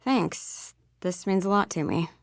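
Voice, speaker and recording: A woman voicing a guarded, sarcastic young American misfit in scripted video game dialogue, with a casual, sullen, defensive deadpan. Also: fairly low pitch, measured pace, vocal fry, no room sound, clean studio sound. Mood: bitter, subdued.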